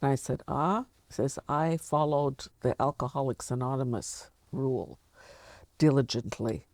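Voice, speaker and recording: Older Canadian woman with a creaky voice. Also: rumbling low, measured pace, a little mellow, dry recording. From Canada, of Latvian descent. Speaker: interviewer. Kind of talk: reflective sit-down interview talk. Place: furnished indoor lounge studio.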